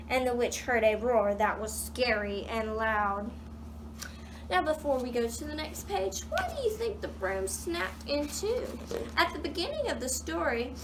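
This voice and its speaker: A woman reading a children's picture book aloud in an animated way, pausing to put questions to young listeners. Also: high-pitched, noisy background. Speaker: read-aloud storyteller.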